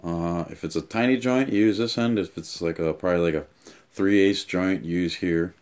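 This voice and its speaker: A man in his thirties giving a casual, offhand unboxing and review, explaining things haltingly in a room at home. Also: low voice, darkish tone. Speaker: tool reviewer and vlogger. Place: small home room, indoors.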